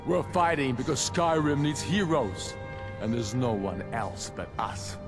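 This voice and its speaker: An actor playing a proud, grave, battle-hardened middle-aged rebel leader in a fantasy video game, speaking in a solemn, measured, oratorical way. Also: male voice, medium pitch, natural voice. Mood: impassioned, defiant. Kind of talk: scripted video game dialogue. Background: background music.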